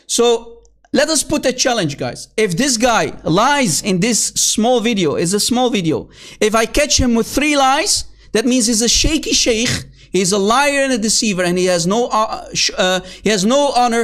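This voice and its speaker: Apologist host, man with a high voice, combative and scornful, plays to his online viewers from his computer. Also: fairly bright tone. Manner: heated, scornful, calls opponents names; repeatedly addresses viewers as guys and brother. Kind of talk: combative online debate commentary.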